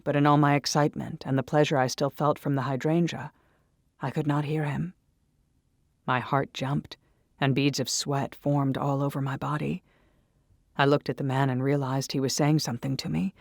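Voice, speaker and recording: Low, creaky woman narrating intimate dark fiction. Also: no echo, clean studio sound. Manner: intimate, intense. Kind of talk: dramatic first-person story narration.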